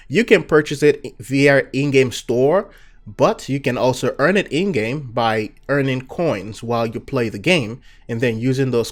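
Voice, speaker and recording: A young Nigerian man with a Nigerian accent hosts a casual viewer Q&A. His voice sits at a middling pitch, and he talks in a loose, relaxed way, dropping in fillers and "you know" as he goes. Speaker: gaming channel host.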